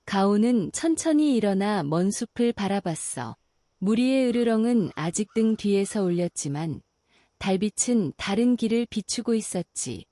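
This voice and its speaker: Calm woman reading a kids bedtime story, warm soft tone, dry close mic. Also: medium pitch, no echo. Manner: slow, soothing and gentle.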